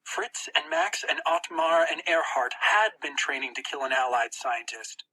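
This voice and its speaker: A narrator reading a first-person story for an audiobook in a tense, expressive, dramatic way. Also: medium pitch, unhurried, slight background noise, low-quality recording.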